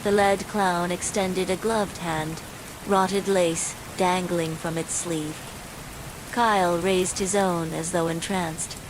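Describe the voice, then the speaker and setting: Slow-paced woman narrating horror over rain. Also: fairly low pitch. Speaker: storyteller. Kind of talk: scripted bedtime horror narration. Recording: steady loud rain noise throughout. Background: falling rain.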